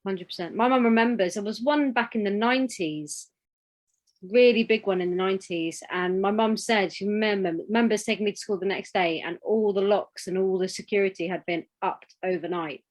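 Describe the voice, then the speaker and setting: A woman's voice: medium pitch, brisk. A woman in a casual chat, skeptical and teasing, laughing often. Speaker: podcast co-host.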